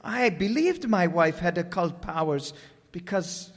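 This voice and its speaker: Guy acting as jittery pleading little man, old radio mystery, really high voice that goes up and down, stammers, hall echo. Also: very animated, measured pace. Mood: defensive. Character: nervous, pleading, superstitious husband, a fraudster's accomplice. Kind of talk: old-time radio detective drama acting.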